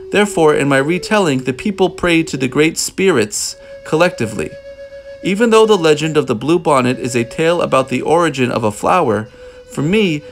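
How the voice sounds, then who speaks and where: A man reading a children's picture book aloud as a narrator, in measured phrases with deliberate pauses. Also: on the high side. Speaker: storybook narrator.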